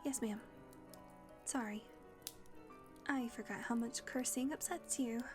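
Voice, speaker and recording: A woman's voice: fairly high pitch, slow, over music. A woman playing a wry, glib vampire fledgling in an audio roleplay, sardonic and placating. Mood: nervous, apologetic. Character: wry female vampire fledgling, flattering her mistress.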